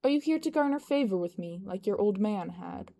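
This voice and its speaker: Woman voicing an amused older crime boss, mellow. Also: medium pitch, expressive, fairly quick, natural voice. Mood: amused, smooth.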